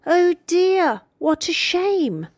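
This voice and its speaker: A woman's voice: very high voice, very animated, very slow, dry recording, studio quality, voice pushed up, heavily acted. A British woman voicing a kindly, fussing hen schoolteacher from a storybook, with an encouraging, motherly manner. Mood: dismayed.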